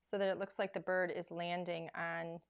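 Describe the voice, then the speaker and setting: A woman hosting a live crafting demonstration, chatty and rambling with plenty of filler words, her voice going hoarse as she loses it. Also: on the low side, little pitch movement, moderate pace. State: losing her voice. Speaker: craft show host.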